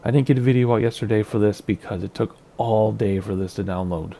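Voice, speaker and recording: A man with a raspy voice from smoke, chatting casually and thinking aloud as he clicks through game menus on his home computer. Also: lowish voice, steady tone, a little fast, dark tone. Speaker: flight sim video host. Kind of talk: casual gameplay commentary.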